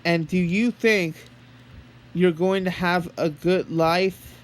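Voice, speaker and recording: A man talking in an earnest, halting way, as if holding a one-sided phone conversation. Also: sits high, steady tone, a little hiss or hum. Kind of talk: one-sided pretend phone call, rambling monologue.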